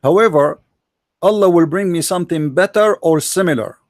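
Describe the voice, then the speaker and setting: Debate host, Arabic accent, forceful and pressing, dry mic. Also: male voice, high voice, moderate pace, no echo. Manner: forceful, argumentative, quotes sources. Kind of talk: religious debate.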